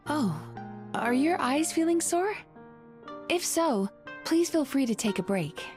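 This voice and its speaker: A woman voicing a gentle, playful young female therapist in a video game, with a soothing, teasing, polite manner. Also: sits high, sing-song, takes it slowly, faint music behind, exaggerated voice. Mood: kind, encouraging. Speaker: game character.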